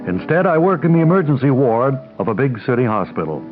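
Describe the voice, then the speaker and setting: An actor playing a self-important, obtuse young male hospital doctor, deadpan and pedantic, in a radio comedy. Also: medium pitch, quick pace, faint music behind, close to an everyday voice. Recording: tinny, band-limited old radio recording.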